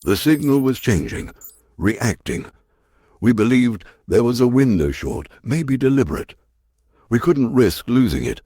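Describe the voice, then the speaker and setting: A man playing an earnest, resolute science professor in a sci-fi audio drama, with a husky voice and deliberate delivery. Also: mid-range voice, very animated, mellow, no echo, clean studio sound, exaggerated voice. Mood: defensive, resolute.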